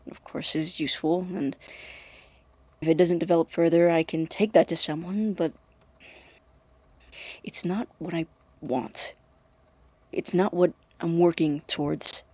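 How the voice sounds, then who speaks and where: An actor playing a secretive, obsessive young scientist who records experiment logs in a halting, deliberate, formal way, as in an audio drama. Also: male voice, a little high, dry recording. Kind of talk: dramatic audio-diary monologue.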